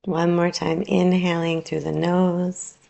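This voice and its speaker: Very slow, creaky low-voiced woman guiding relaxation. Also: flat delivery. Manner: soothing, unhurried, gently instructing with long pauses. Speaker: yoga nidra teacher. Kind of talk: guided relaxation meditation.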